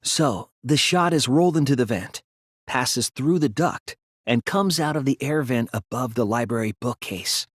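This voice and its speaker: A man voicing an earnest, self-doubting teenage boy detective in a dramatic video game, speaking in a deliberate, explanatory way. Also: mid-range voice, breathy edge, no room sound, clean studio sound, lightly acted. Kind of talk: dramatic English video game voice acting, deductive monologue.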